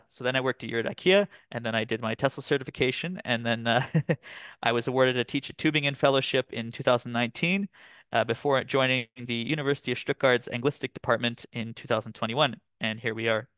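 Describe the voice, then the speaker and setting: A man from Canada in his thirties giving a relaxed, chatty academic presentation over a video call, with frequent fillers. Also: medium pitch, clear, resonant tone, no echo. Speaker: guest lecturer. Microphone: computer mic on video call.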